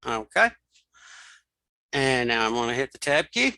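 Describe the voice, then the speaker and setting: A middle-aged man hosting a screen reader software tutorial, informally thinking aloud and repeating key steps. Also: mid-range voice, big pitch swings, a little slow, gravelly, a crowd in the background. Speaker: tutorial host and web developer.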